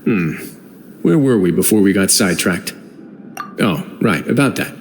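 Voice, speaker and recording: An actor playing a composed, wry, self-assured nobleman in authority in an anime video game, speaking in an unhurried manner. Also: low-pitched, expressive, slight background noise. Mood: wry, relaxed. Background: game music.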